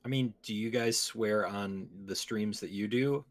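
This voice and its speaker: A man with a somewhat low voice hosts a gaming podcast. His delivery is casual and conversational, like chat among co-hosts. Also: unhurried. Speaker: podcast host.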